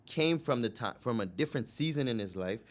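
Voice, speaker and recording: A man's voice: medium pitch, expressive, a little fast. A man co-hosting a podcast in a casual debate, earnest and insistent, repeating phrases for emphasis. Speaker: podcast co-host.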